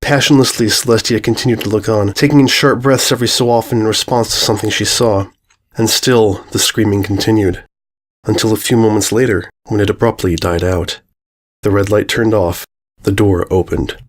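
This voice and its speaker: A man's voice: on the low side, fairly even intonation, fairly quick. A man giving a scripted narration of a horror fan fiction story.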